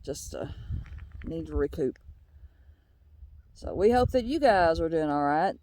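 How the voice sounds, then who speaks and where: An older woman, getting over a bronchial illness, chatting casually and haltingly in a folksy, unhurried way as a vlog co-host outdoors. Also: low voice, lively intonation, a little mellow. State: recovering from a bronchial illness, voice slightly low. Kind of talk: casual chat update. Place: outdoors on a hilltop.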